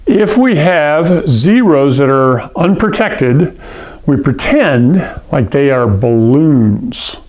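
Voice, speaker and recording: Man from Hawaii, classroom lecturer, unhurried delivery, pitched a little high, patient explainer. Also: very animated, a little mellow. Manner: patient, checks in with "okay?" and "all right?". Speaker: science teacher.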